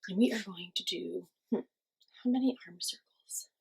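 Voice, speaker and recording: A woman teaching young children, giving simple encouraging instructions and asking questions, with pauses for answers. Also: fairly low pitch, gravelly, husky. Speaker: children's class teacher and host. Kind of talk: children's lesson with guided movement.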